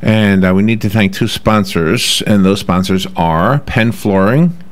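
Chatty New York radio host, low voice. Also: male voice, measured pace. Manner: chatty, laughs easily. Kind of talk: casual radio talk. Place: radio studio. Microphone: desk microphone.

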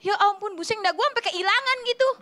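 A young woman doing a stand-up comedy routine in an animated, slangy storytelling way. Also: very high-pitched, quick pace. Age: young adult. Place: TV studio stage, indoors. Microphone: handheld microphone.

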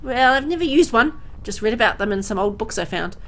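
Gentle bookish young man, done by a woman. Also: a little high, animated, brisk. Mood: helpful.

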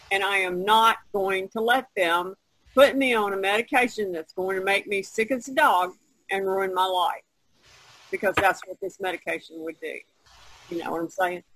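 Bright, forceful woman in her sixties. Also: medium pitch, no room sound, poor audio. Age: 60s. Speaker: artist podcast participant. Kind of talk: casual group chat. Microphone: online video conference call.

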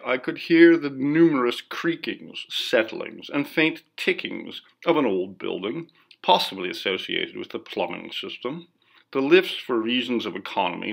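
A man reading a ghost story as scripted narration, in a measured, formal, dramatic first-person delivery. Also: on the low side, vocal fry, a bit bright. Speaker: audiobook reader.

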